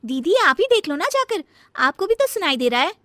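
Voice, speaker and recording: A sharp, very high female voice put on by an actress plays a lazy, scheming younger daughter-in-law. She whines and talks back with sarcasm, in the manner of a cartoon family comedy. The sound is dry and close. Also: sing-song, fairly quick, no room sound, full character voice. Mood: whiny excuse. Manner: whiny, sarcastic, defiant. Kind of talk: acted Hindi family comedy story.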